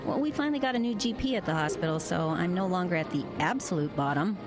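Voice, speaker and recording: An actress playing a kind young small-town woman in an audio drama, speaking in a gentle, conversational way. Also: on the low side, expressive, quick pace, music in the background. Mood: friendly, casual. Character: kind young small-town hospital doctor, pastor's sweetheart. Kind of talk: naturalistic audio drama acting.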